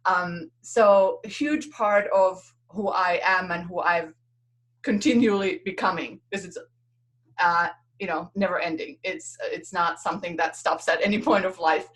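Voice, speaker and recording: A woman's voice: on the low side, fairly even intonation, a little slow, fairly bright tone. A woman from Finland, a native Finnish speaker, talking as a podcast guest in a reflective, conversational storytelling style with an earnest, lightly laughing manner.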